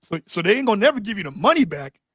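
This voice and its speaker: Slangy, storytelling man, high-pitched. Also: very animated, rapid-fire, fairly bright tone, no room sound. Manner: loose, streetwise storytelling with blunt slang. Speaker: interview guest, Doberman breeder. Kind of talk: casual conversational interview.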